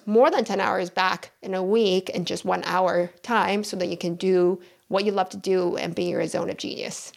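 A woman in her thirties from Taiwan, a physician guest on an interview, explaining in a steady, matter-of-fact way. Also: medium pitch, gravelly. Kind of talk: interview conversation.

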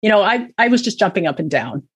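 A woman's voice: mid-range voice, very animated, fast talker, slightly rough. A middle-aged woman with a Canadian accent, chatting as a podcast guest in a casual, self-deprecating way. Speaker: podcast guest, charity executive director. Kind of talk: casual interview conversation.

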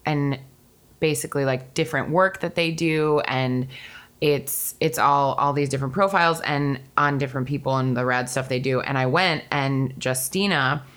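Bubbly low-voiced woman podcast host. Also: a bit bright, clear, resonant tone. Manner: bubbly, chatty, quick to laugh, says "like" a lot. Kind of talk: casual chat. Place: home.

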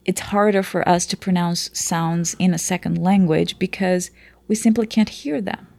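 Woman, Portuguese native, teacher type; explains patiently with "right?" tags, slight fry, dry booth sound. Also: lowish voice, steady tone, moderate pace, darkish tone, no echo. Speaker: teacher, guest. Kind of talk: conversational interview.

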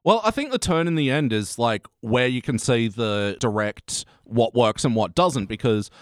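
A man's voice: medium pitch, expressive, moderate pace, no echo, studio quality. A man hosting a podcast, chatting casually as he reviews TV episodes. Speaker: podcast host.

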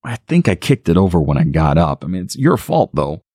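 A hungover, bossy slob snaps orders in a rough, dismissive voice, as a man puts him on in a storybook reading. The sound is close and dry. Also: low-pitched, sing-song, brisk, darkish tone, no room sound, heavily acted. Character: surly, hungover lout, the boyfriend.

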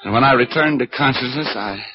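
An actor playing a wry, slangy, tough private detective in a 1940s radio drama, narrating and scheming. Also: male voice, mid-range voice, fairly quick, faint music behind, lightly acted. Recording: dull 1940s radio broadcast.

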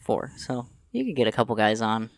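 A man's voice: medium pitch, sing-song, brisk, no echo. A man joining a podcast as a guest, chiming in with short, dry remarks in casual chat. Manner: brief dry interjections. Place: small studio.

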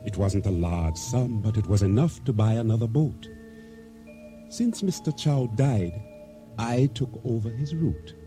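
An actor playing a reflective, humble island boatman who tells his story in a measured radio drama narration. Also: fairly low pitch. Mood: wistful. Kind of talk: dramatic first-person radio play narration. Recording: radio drama with music underneath.

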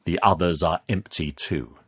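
A man voicing a commanding, menacing older aristocrat, crisp and condescending, with a gravelly edge, in an audiobook story. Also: sits low, measured pace, fairly bright tone. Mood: stern contempt.

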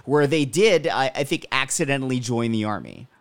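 A man with a mid-pitched, very lively voice, co-hosting a podcast and laying out his reasoning. The sound is close and dry. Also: moderate pace, no echo. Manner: explanatory, analytical. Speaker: podcast co-host. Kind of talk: casual chat.

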